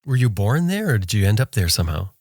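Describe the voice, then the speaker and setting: Podcast host, male, lowish voice, enthusiastic reactions, dry sound. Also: sing-song, fast talker, a little mellow, no room sound. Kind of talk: casual interview conversation.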